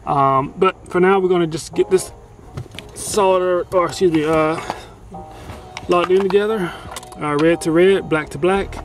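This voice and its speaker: A man's voice: sits high, fairly even intonation, measured pace. Rambling man filming a truck install. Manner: rambling, self-correcting, filler-heavy. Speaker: DIY vlogger installing a CB radio. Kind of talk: casual how-to narration while working. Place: inside a pickup truck cab and garage.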